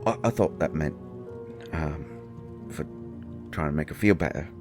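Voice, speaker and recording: A grieving fairy husband, acted by a man, speaks in a deep voice at a slow, halting pace. He is gruff and defensive, wounded underneath, in the manner of an intimate audio drama monologue. Soft music plays behind him. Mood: wistful, grieving. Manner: halting, emotional, swinging from snappish outbursts to quiet murmurs.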